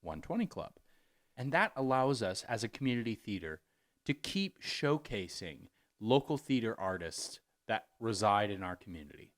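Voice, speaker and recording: A man hosting for a community theater, giving an informal donation appeal in a halting, jokey, folksy way. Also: fairly low pitch, big pitch swings.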